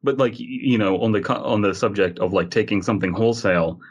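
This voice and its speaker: A man's voice: lowish voice, fast talker, husky. A man co-hosting a podcast, talking casually in a rambling, emphatic and opinionated way. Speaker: podcast co-host.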